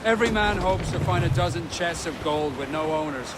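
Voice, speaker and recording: An actor playing a seasoned, commanding pirate captain with a declamatory delivery in a video game. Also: male voice, on the high side, a little fast, very noisy, close to an everyday voice. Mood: easy authority. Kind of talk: video game cutscene acting.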